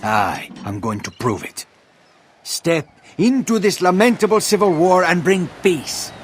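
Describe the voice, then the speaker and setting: A man's voice: high-pitched, very animated, a little slow. A man acting a grandiose, ambitious villain making a speech in a video game. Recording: faint background noise.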